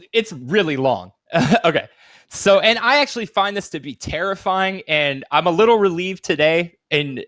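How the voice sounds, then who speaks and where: A man's voice: a little high, a bit bright, no echo, clean studio sound. A man co-hosting a podcast, chatting casually and telling stories in an informal, sweary way. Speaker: podcast co-host. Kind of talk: casual chat and reading a story aloud.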